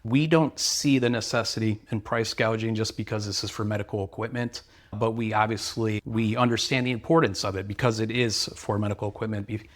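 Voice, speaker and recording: A man's voice: lowish voice, fairly even intonation, fairly quick. A man, an earnest, sales-minded company representative explaining products and service in interview answers.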